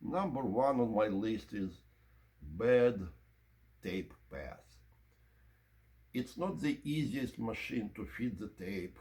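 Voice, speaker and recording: Guy reviewing gear, lowish voice, goes up and down a lot, explains stuff in short chunks. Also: animated, takes it slowly, pauses often, a little mellow. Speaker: presenter of audio equipment review. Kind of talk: informal product review and demonstration to camera.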